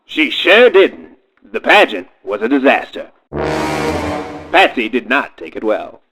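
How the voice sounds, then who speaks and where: A man's voice: mid-range voice, wildly swooping pitch, slow pace, over music, poor audio. A man playing a dry, dramatic narrator, reading a scripted mock biography in a comic radio play. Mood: mock solemn.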